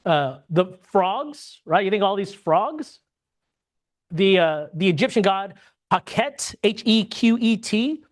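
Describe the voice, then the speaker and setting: Bible class teacher from the States, conversational lesson, keeps checking in with the class and restarting sentences. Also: mid-range voice. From United States. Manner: checks in with frequent tag questions; restarts and repeats words mid-sentence.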